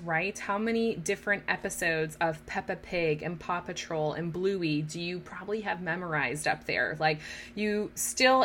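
A woman's voice: fairly low pitch, fairly bright tone, a little hiss or hum. A woman in her thirties hosting a casual podcast, talking straight to listening mothers in an encouraging way. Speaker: podcast host.

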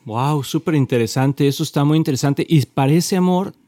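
Playful Mexican man, mellow dry voice. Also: a little high, normal speed, no echo. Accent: Mexican Spanish. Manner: playful, laughs often, restarts mid-phrase. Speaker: co-host.

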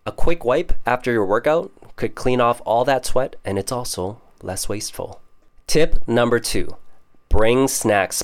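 A young man with a Canadian accent hosting a podcast, casually explaining English idioms with jokes. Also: on the low side. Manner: casual, joking, explains terms. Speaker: podcast host. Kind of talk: scripted podcast monologue teaching English idioms.

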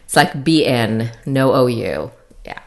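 A low-pitched woman in her thirties speaks with an American accent. Her intonation swings widely as she talks, chatty and self-deprecating. She tells her story casually and laughs often. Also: animated, slow pace, creaky. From born in Sri Lanka, raised in the Philippines and Arkansas. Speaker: guest co-host.

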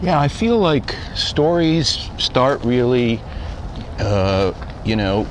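A man's voice: mid-range voice, big pitch swings, slow. A man hosting a podcast, asking questions in a casual conversational interview. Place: outdoors, walking in a park. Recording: outdoors with city and vehicle noise.

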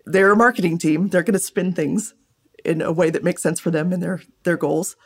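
A woman's voice: on the low side, steady tone, moderate pace, husky. A woman speaking as an interviewed scholar, explaining things casually and thinking aloud as she goes. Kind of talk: interview answers.

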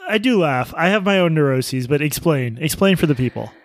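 A man's voice: a little high, lively intonation, a little fast, no room sound. A man in his thirties co-hosting a podcast in casual chat, wry and profane, telling stories. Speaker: podcast co-host.

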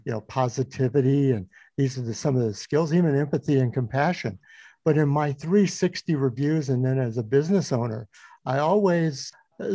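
Male podcast host, warm mellow voice, dry close recording, reflective questioner. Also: fairly high pitch, no room sound. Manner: reflective, personal asides. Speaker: interview host. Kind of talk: conversational interview.

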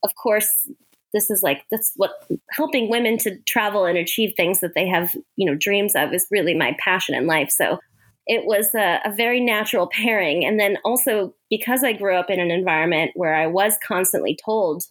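Woman, American accent, medium pitch, conversational, chatty, laughter-prone, interview guest. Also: normal speed. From Hawaii, United States. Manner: chatty, laughs often while talking. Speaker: interview guest, author and women's travel entrepreneur.

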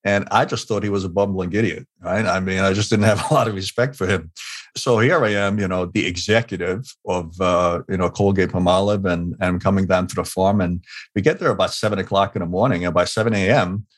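A middle-aged man from Hungary speaks in a fairly low voice. He is a guest telling a story in a relaxed interview, full of "you know" and small restarts. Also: brisk. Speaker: guest, leadership expert.

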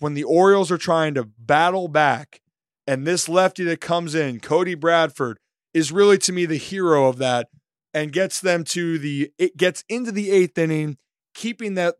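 A man co-hosting a sports podcast, his voice slightly hoarse, talking through pitching stats and game analysis in animated bursts. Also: moderate pace, dry recording, clean studio sound. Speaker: co-host.

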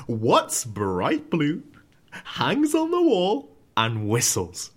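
Washed-up male comedian, anxious and melodramatic, slow hesitant delivery with hugely animated pitch. Audio comedy. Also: slightly rough, exaggerated voice. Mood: hopeful, then deflated. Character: despairing famous male comedian, anxious and humourless. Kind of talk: scripted audio comedy acting.

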